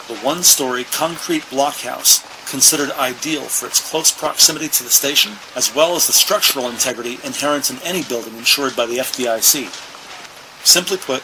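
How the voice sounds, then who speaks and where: A man's voice: mid-range voice, lightly acted. Casting: male actor as a matter-of-fact procedural narrator, mid-range voice, scripted and descriptive, over noisy ambience. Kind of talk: scripted audio drama narration, police procedural.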